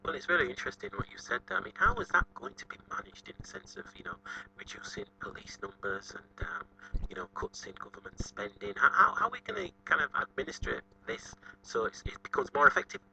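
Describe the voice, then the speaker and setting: A man interviewing on live radio, halting and repeating his words as he frames his questions. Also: mid-range voice, very animated, vocal fry, pushed and bright. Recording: dull, distant lo-fi radio audio.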